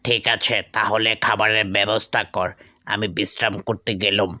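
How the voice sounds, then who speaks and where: Guy doing bossy wandering holy man for a cartoon, low flat delivery, forceful. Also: normal speed, no room sound, clean studio sound. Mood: commanding. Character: commanding wandering holy man who grants boons. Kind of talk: Bangla cartoon voice acting.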